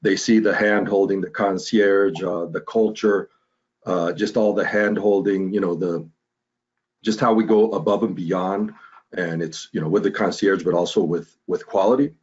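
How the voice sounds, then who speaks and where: A man from Costa Rica who works in medical tourism, answering interview questions in a calm, measured way on a video call. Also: low-pitched, slightly rough. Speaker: hospital medical tourism director. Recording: dull video call audio.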